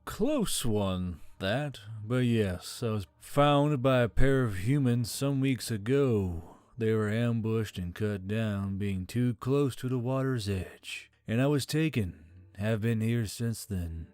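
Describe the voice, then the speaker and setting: A man's voice: on the low side, takes it slowly, hardly any pauses, darkish tone, clear, resonant tone, dry recording. A man voicing an ancient male spirit in a talking necklace, formal, archaic and wry, in an audio story. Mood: wry, weary. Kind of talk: audio fiction character acting. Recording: background music under the voice.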